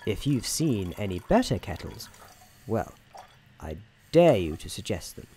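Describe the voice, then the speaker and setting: A soft-spoken man narrating a scripted ASMR story in a wry, mock-grand manner. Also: lowish voice, very animated, unhurried, breathy edge.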